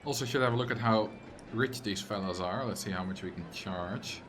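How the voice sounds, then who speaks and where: A man's voice: lowish voice, brisk. Dutch-accented man streaming a game. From Netherlands. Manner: chatty, reads viewer chat aloud and jokes back; bursts into loud exasperated rants. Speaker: livestreamer. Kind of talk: casual gameplay commentary on a live stream.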